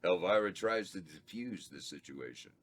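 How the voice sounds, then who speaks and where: A man reading the stage directions at a script table read, in a plain, unhurried way with slight stumbles. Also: low voice, lively intonation, fairly bright tone, a little hiss or hum.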